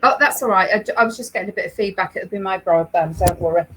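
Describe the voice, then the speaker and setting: A woman's voice: mid-range voice, quick pace, fairly bright tone, a little hiss or hum, poor audio. A woman from Leeds, co-hosting a knitting livestream from home, chatting casually with lots of ums and easy laughs. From England, Leeds area. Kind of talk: casual chat, reading viewer comments.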